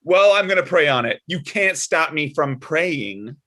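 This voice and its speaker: A man playing a prim, pious older dad, high-pitched. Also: animated, unhurried, sharp tone, exaggerated voice. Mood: wounded, prim. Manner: sanctimonious, sugary jabs. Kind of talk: comedic script table read.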